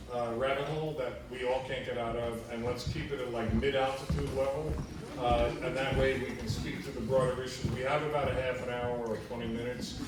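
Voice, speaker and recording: A man moderating the question session of a public panel, brisk with a light joke. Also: medium pitch, distant mic. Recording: echoey hall, crowd noise.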